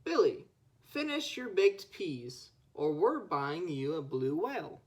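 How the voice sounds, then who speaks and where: A woman's voice: deep voice, big pitch swings, slow, darkish tone, heavily acted. A young woman voicing a stern, exasperated mother in a picture book. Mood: scolding threat.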